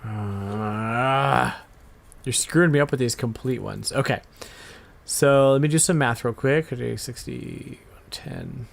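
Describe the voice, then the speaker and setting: A man's voice: medium pitch, slow pace, creaky. A man co-hosting a casual podcast, chatting playfully and sometimes muttering to himself in mock exasperation. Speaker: podcast co-host. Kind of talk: casual podcast chat about retro games.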